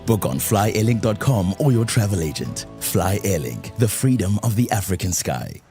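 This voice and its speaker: A man with a mellow, somewhat low voice reads a polished radio ad in a measured way, over soft background music. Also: very animated. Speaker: advertisement announcer. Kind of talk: scripted airline radio ad.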